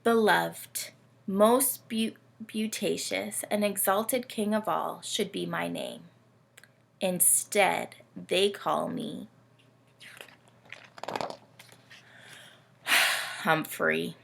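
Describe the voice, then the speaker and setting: Woman reading as a pompous, creaky, long-suffering camel. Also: medium pitch, lively intonation, extremely slow, pauses often, a bit bright, heavily acted. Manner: pompous, plaintive, dramatic. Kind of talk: storybook read-aloud.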